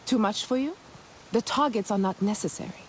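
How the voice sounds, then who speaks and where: An actress playing a cocky, taunting clan racer in a game, clipped and challenging. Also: female voice, medium pitch, a little fast, slight background noise, close to an everyday voice. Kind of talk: game character acting.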